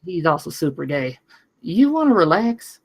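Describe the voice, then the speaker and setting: A woman's voice: on the low side, sing-song, normal speed. A woman playing a brash, foul-mouthed young mechanic in a screenplay table read, casual, sarcastic and blunt. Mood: teasing, blunt.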